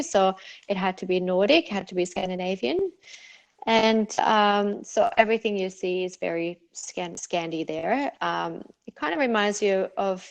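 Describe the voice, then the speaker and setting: A woman's voice: medium pitch, poor audio. Chatty Norwegian-accented woman. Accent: Norwegian, partly faded. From Norway. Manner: chatty, many fillers and restarts. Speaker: clinic owner guest. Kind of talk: casual interview answers.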